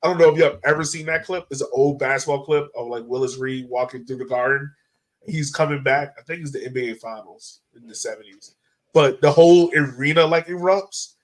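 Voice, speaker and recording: A man hosting a casual sports podcast, talking in an excitable, slangy way. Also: medium pitch, fairly quick, rough recording. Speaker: podcast host.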